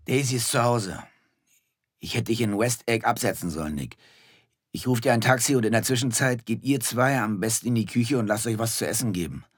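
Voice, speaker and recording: Commanding wealthy husband, radio play, blunt and pushy, mid pitched. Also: male voice, lively intonation, brisk, breathy edge, no echo, clean studio sound. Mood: subdued, bossy. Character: domineering, brusque wealthy husband, muscular and commanding. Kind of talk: naturalistic radio play acting.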